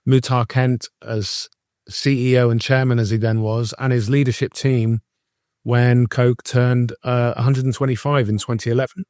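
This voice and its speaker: A man's voice: medium pitch, little pitch movement, moderate pace, darkish tone, dry recording, studio quality. A middle-aged man hosting an interview, chatty and enthusiastic, with lots of fillers and long winding questions. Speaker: interview host, former journalist.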